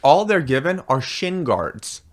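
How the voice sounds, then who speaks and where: A man born in India, a podcast guest telling animated stories and acting out people's voices in casual chat. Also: fairly high pitch, a little slow. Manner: animated storyteller, acts out voices.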